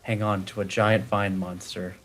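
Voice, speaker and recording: Man, game master, lowish pitch, descriptive storytelling, live tabletop session. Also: flat delivery, moderate pace, a bit bright, soft background music. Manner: descriptive scene-setting narration.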